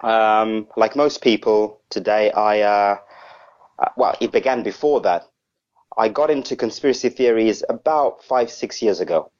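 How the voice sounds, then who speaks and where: A man's voice: lowish voice, a little slow, darkish tone. Hesitant man on a dull remote line. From Zimbabwe. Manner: hesitant, with many ums and restarts. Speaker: interview guest. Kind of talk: casual interview answers.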